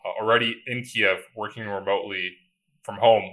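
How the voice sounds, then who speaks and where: Man, 30s, bright tone, lowish pitch, relaxed, lots of "like". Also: moderate pace, low-quality recording. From Chicago, USA. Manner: easygoing, frequent word repeats and "like" fillers. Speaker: company founder, guest. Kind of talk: casual storytelling interview. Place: remote call from home.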